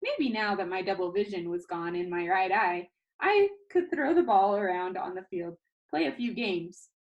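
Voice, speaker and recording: A woman with a medium-pitched voice reads a children's novel aloud. She narrates in the first person with expression, and the delivery is homely, with the odd small stumble. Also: animated, moderate pace, lo-fi sound.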